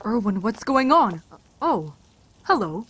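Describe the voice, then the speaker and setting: A woman playing a brisk, practical doctor among apocalypse survivors in an audio drama. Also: fairly high pitch, sing-song, a bit bright, slightly rough, heavily acted. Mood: friendly. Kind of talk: fan audio drama dub acting.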